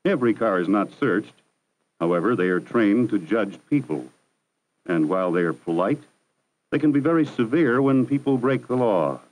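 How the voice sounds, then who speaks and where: A man narrating a 1960s educational film in a measured, formal, instructive manner. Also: fairly low pitch, expressive, a little mellow, poor audio. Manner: measured, formal, didactic delivery. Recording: 1960s archival film soundtrack.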